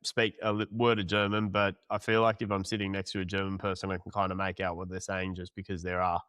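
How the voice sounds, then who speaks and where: A man's voice: sits low, completely flat delivery, fast, fairly bright tone, clear, resonant tone, no echo, clean studio sound. A male podcast host asking long, rambling questions in a casual interview.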